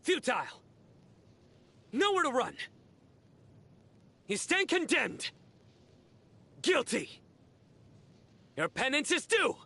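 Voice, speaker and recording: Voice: high-pitched, sing-song, slow, creaky, long pauses, pushed and bright, full character voice. An actor voicing a stern, righteous warrior judge in an anime game, with solemn, clipped, declamatory lines. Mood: fierce, righteous.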